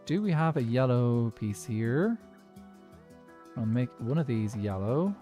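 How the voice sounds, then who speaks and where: A man with a posh Dublin Irish accent chats easily while he plays a building game, thinking out loud and breaking into laughs. His voice sits at a middling pitch. Soft music runs faintly underneath. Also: lively intonation, slow. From Dublin, Ireland. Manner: chatty, thinks aloud, laughs often. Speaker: streamer playing a block-building game. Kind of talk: casual gameplay chat.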